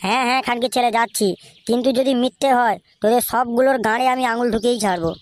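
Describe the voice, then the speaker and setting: A performer voicing a hot-headed, foul-mouthed jilted young man in a comedy cartoon, threatening and shouty. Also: medium pitch, fairly even intonation, racing, a little hiss or hum, lightly acted. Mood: angry, threatening. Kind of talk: adult comedy cartoon voice acting.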